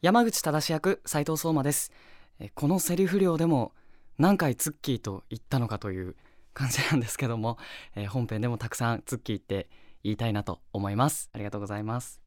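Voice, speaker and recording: A man's voice: medium pitch, a little fast, no room sound, clean studio sound. A man who works as a voice actor, giving a short and polite cast comment.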